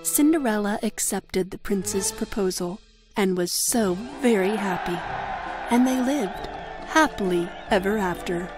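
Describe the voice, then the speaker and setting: A woman's voice: medium pitch, a little slow, music in the background. A woman with an American accent narrating a scripted fairy tale for children. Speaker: storyteller.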